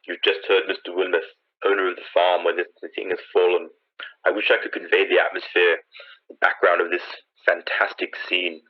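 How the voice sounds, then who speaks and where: Deep husky actor as male radio reporter, old-time live commentary, urgent n awed, dull lo-fi sound. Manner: descriptive, briskly steering, searching for words.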